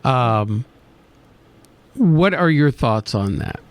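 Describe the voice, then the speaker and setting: A male radio host asking questions in a gentle, careful, tactful way. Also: mid-range voice, lively intonation, drawn-out words. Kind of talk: interview questions.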